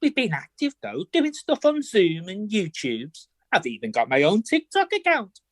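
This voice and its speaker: Actress as lively showbiz woman, close and dry. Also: medium pitch, normal speed, no room sound. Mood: lamenting. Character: showy theatrical woman Shazma, glitz-loving and chatty.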